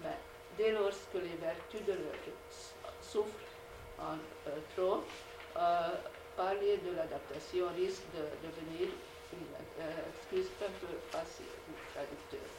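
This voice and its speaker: A woman whose first language is Hungarian, reading a measured academic lecture with frequent hesitations. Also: fairly low pitch, distant mic. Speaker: conference speaker. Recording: echoey hall recorded from afar, noisy.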